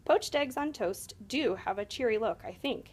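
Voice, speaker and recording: A woman voicing a practical, patient mother in a children's storybook, even-tempered. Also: on the high side, normal speed, husky.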